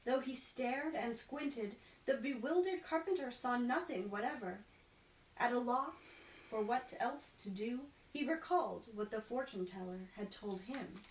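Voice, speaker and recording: A woman reads a children's story in a fairly high voice, taking her time over each phrase. Her delivery is expressive and deliberate. The sound is echoey and a little far from the mic. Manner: animated, deliberate, acts out dialogue. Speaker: costumed storytime reader. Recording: echoey room, distant mic, faint noise.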